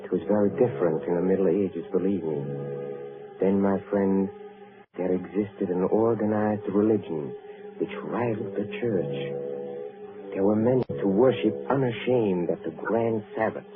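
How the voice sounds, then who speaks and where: Very deep-voiced, ominous old count. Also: male voice. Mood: ominous, proud. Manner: deliberate, ominous storytelling. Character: sinister, proud old European count, darkly courtly. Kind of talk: old-time radio drama acting. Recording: muffled vintage radio recording with music.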